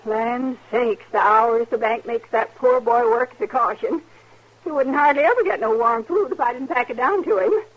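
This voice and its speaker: Husky, folksy frontier mother played by a woman. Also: fairly high pitch, normal speed, lightly acted. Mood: cheerful, chatty. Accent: rural Western American. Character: kindly, doting frontier mother, chatty and homespun. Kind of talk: 1950s radio drama acting. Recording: dull old radio recording.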